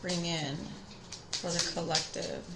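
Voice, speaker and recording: Raspy-voiced woman reading tarot. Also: lowish voice, steady tone, takes it slowly, very noisy. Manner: chatty, talks straight to viewers. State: tired voice from singing. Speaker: tarot reader. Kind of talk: casual spiritual reading.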